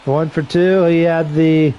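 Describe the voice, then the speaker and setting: Slightly hoarse man calling a game. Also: on the high side, unhurried, dull audio, slight background noise. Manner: relaxed, rambling asides between calls. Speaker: streamer doing play-by-play. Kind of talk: casual play-by-play commentary. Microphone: headset.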